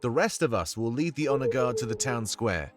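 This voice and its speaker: Man acting as rebel strategist, mid-pitched, expressive range, measured, commanding, formal game dialogue, faint music. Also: lightly acted. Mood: resolute, briefing. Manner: formal, deliberate briefing. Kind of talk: scripted visual novel game dialogue.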